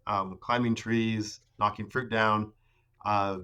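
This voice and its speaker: A man in his thirties, a podcast guest, talking casually about growing up in the rainforest, with many pauses and restarts. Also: fairly low pitch, little pitch movement, bright, forceful tone, clear, resonant tone. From Ecuador. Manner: hesitant, many fillers and restarts. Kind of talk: casual conversation.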